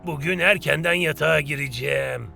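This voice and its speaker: Creaky, high-pitched, vain football star. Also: male voice, brisk, bright, forceful tone, faint music behind, heavily acted. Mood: scheming. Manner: cocky, melodramatic. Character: vain, showy young male football star.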